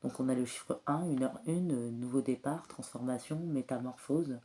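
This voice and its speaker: A woman with a cold and a slightly hoarse voice, giving a spiritual card reading in a soft, hesitant way with many filler pauses. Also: a bass voice. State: has a cold, clears throat often. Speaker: tarot and oracle card reader.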